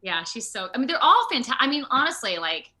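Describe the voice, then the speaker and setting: A woman chatting casually and informally as an actor guest in an interview, in an animated, conversational way. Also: fairly high pitch, fast talker. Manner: animated, chatty, informal with frequent "like" and "you know". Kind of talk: casual video interview chat. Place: at home, indoors on a video call.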